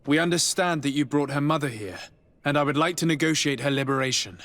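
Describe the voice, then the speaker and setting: A man acting a courteous, composed noble diplomat with a formal, measured manner in a video game. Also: on the high side, a bit bright, dry recording.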